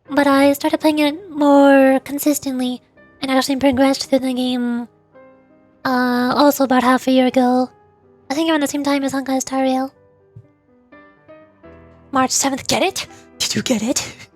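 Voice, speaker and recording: A woman's voice: sits high, fairly even intonation, moderate pace, pauses often, soft background music. A woman streamer chatting casually and rambling about games while answering viewers' questions. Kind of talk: casual livestream chat.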